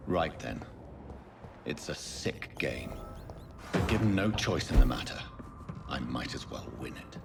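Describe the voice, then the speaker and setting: Grave English gentleman vampire, very low voice, haunted, determined, formal, measured, dramatic game acting, music behind. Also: lively intonation, vocal fry, heavily acted. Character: brooding adult gentleman doctor turned vampire, haunted, determined. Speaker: video game protagonist.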